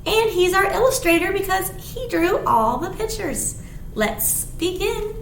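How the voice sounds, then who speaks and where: A middle-aged woman reading aloud as a children's storytime librarian, with an expressive, sing-song delivery and simple questions to the children. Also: very high-pitched, unhurried. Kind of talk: animated read-aloud to young children. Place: small indoor room. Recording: roomy echo, faint background noise.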